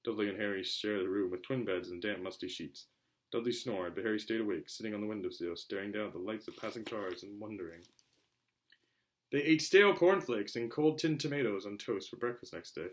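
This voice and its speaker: A man reading a storybook aloud as a narrator, in an expressive, theatrical style. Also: on the low side, fairly quick, poor audio. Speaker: audiobook reader.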